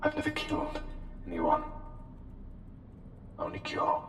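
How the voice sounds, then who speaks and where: A man playing an eerily polite, menacing plague doctor in a formal, haughty ASMR roleplay, with deliberate, halting phrasing. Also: close to an everyday voice. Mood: coaxing, clinical. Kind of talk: slow, intimate ASMR roleplay monologue. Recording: long cavernous reverb, music underneath. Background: music.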